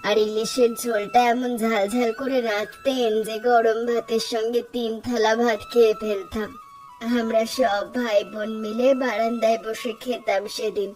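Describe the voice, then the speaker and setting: A caring, nostalgic village mother from a Bengali cartoon, acted by a woman. Her voice sits fairly high and she talks in a homely, wistful way. Music plays behind her. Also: little pitch movement, moderate pace, a little mellow, recorded from a distance, lightly acted, poor audio. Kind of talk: Bengali cartoon story dialogue.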